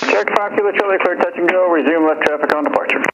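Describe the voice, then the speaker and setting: A man with an American accent working as a tower controller, giving clearances over aviation radio. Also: sits high, racing. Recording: muffled radio transmission, faint noise.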